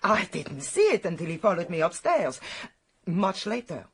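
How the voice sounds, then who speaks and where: Radio drama housekeeper: French, middle-aged, imperious and secretive, impatient, highly animated pitch. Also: female voice, moderate pace, breathy edge, exaggerated voice. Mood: agitated recounting. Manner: haughty, impatient, emotional. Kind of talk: radio detective drama acting.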